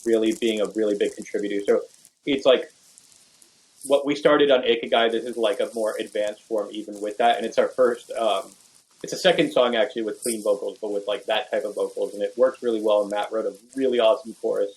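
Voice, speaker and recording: A man's voice: fairly low pitch, fairly even intonation, fairly quick, darkish tone, low-quality recording. Laid-back man, rambling casual talk. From Venezuela. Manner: rambling, full of "like" and "you know". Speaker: guest bass player. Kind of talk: casual podcast interview.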